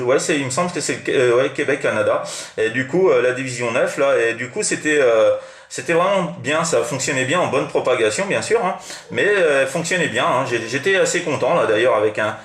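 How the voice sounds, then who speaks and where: A French man talks casually as a hobby radio operator, with a middling pitch. He rambles and repeats himself, feeling for his words. The room around him adds a clear echo. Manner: rambling, hesitant, repetitive. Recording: roomy, echoing room sound.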